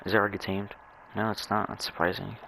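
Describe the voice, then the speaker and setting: A young man with a low, gravelly voice talks casually as he plays a game. He rambles in a halting way, often stammering and repeating words. A faint noise sits under his voice on what sounds like a home microphone. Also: level tone, bright, forceful tone, low-quality recording. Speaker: gamer narrating own gameplay. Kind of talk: rambling casual chat over gameplay.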